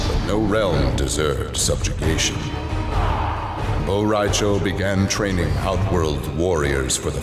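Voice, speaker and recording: A man delivering scripted dramatic narration for video game story endings in a solemn, measured way. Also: low voice. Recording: echoing voice under loud music. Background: loud music.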